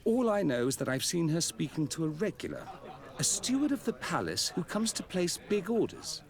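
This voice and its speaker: An obliging shopkeeper in a video game. His voice is high for a man and extremely animated in melody, with a politely cautious manner. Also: normal speed. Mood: helpful.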